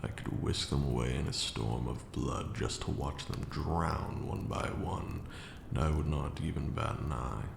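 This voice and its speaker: A man playing an ancient, haughty vampire lord and fond mentor in a slow, theatrical ASMR roleplay monologue, murmured in a deep, gravelly voice. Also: roomy sound, noisy background, full character voice. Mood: haughty, dismissive. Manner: theatrical, drawn-out, condescending.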